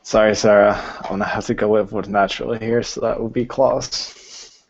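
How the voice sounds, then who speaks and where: A man's voice: lowish voice, fairly even intonation, normal speed. A man talking casually through a tabletop roleplaying game, muttering counts and explaining rules in a hesitant way, heard over lo-fi voice chat. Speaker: tabletop roleplaying game master or player rolling combat.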